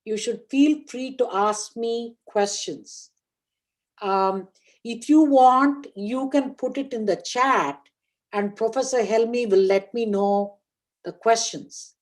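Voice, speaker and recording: A woman in her sixties from India, a guest professor opening an online lecture, speaking carefully and deliberately. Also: on the high side, a little mellow. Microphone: online video call.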